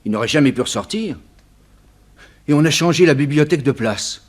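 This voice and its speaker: A man's voice: on the high side, animated, fairly quick. A man playing a bewildered, bossy old father, exclaiming and nagging in a 1960s radio serial. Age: older.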